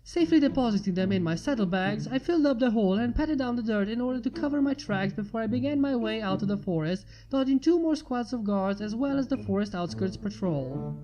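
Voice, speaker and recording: A woman's voice: on the high side, expressive, fairly quick, lo-fi sound. A woman reading a scripted story aloud as its narrator. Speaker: fan fiction reader. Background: music.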